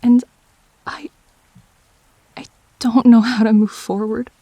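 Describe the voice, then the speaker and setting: A woman acting in an emotional audio drama as a grieving, defensive girlfriend, speaking in halting, wounded phrases with pauses. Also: fairly high pitch, level tone, mellow, dry recording.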